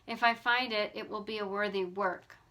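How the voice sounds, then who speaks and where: A woman voicing a soft-hearted, excitable teenage girl in an audiobook, gushing and quick to exclaim. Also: mid-range voice, lightly acted. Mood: gleeful. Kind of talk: audiobook character voice.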